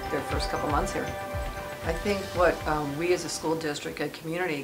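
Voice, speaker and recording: A woman who teaches English as a second language gives thoughtful, measured interview answers, pausing often to think. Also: low-pitched, poor audio. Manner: thoughtful, measured, with frequent "um" pauses. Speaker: ESL teacher. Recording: music bed under voice.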